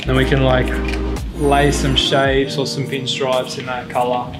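Flat-toned paint shop owner. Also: male voice, medium pitch, unhurried. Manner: short, matter-of-fact answers. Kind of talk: casual explanation. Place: paint workshop, indoors. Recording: echoey workshop with loud music.

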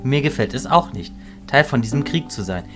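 A man playing a calm, reasoning mentor in a fantasy audio drama, measured, philosophical and reassuring. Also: mid-range voice, close to an everyday voice. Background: music.

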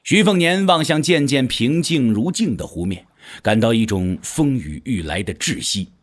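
A man with a husky voice giving dramatic narration for a wuxia audio drama. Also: medium pitch, lively intonation, moderate pace.

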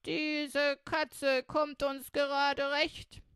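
A woman's voice: high-pitched, flat delivery, extremely slow, a bit bright, no echo, clean studio sound, voice pushed up, exaggerated voice. A woman voicing an indifferent female robber cook in a read-aloud children's story.

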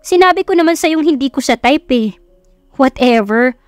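A woman's voice: high-pitched, lively intonation, moderate pace, dry recording, faint music behind, voice pushed up. Woman doing a sassy, blunt young best friend. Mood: teasing. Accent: Filipino. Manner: slangy, exasperated teasing.

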